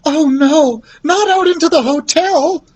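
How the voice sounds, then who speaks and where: A man voicing an anxious, protective mother mouse in an alarmed, shrill way for a storybook reading. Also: unhurried, no room sound.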